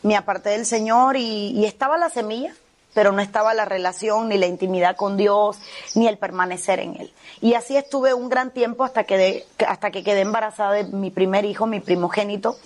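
A woman's voice: medium pitch, steady tone, a little fast. A middle-aged woman pastor from Cuba, with a Cuban Spanish accent, telling her testimony with fervent earnestness in a TV interview.

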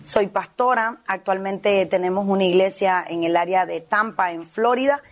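A woman's voice: medium pitch, normal speed. A middle-aged woman pastor from Cuba, with a Cuban Spanish accent, telling her testimony with fervent earnestness in a TV interview.

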